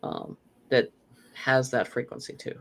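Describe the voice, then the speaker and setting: A woman's voice: rumbling low, level tone, measured pace, gravelly. Rambling woman host. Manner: rambling, emphatic, filler-heavy. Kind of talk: casual chat about spiritual topics.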